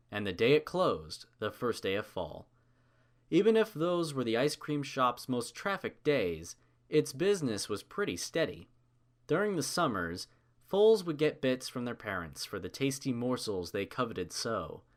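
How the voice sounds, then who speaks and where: Man, story narrator, scripted reading, mid-pitched, expressive melody.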